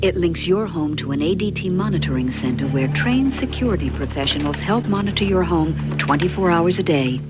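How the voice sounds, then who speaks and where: Female ad narrator, lower register, scripted read with clear music underneath. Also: expressive, fairly quick, few pauses. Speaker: commercial narrator.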